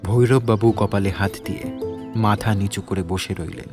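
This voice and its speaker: A man's voice: fairly low pitch, normal speed, music in the background. A man with a Bengali accent giving scripted narration for an audio story.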